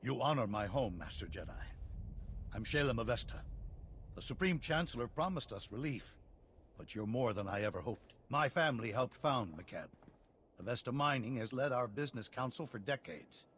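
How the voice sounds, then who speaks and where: Actor as dignified colonial leader, expressive voice. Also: male voice, medium pitch, moderate pace, slight background noise, lightly acted. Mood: solemn, grateful. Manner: formal, earnest, statesmanlike. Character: dignified, worried male colonial leader and mining magnate. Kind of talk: video game cutscene acting.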